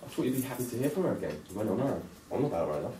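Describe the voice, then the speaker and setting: A man's voice: lowish voice, sing-song, racing, far from the mic. A man reading the part of a defensive, concerned young friend at a drama table read, recorded from afar in an echoey, noisy room.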